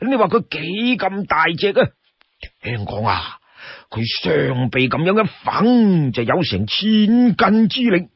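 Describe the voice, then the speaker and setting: Man acting garrulous old townsman, husky and sighing. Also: fairly high pitch, dry recording, lo-fi sound, full character voice. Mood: awed, warning. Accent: Cantonese. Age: older. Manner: garrulous, sighing, exclaiming with drawn-out emphasis. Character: talkative old male townsman, awed and gloomy storyteller. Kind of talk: dramatized Cantonese storytelling.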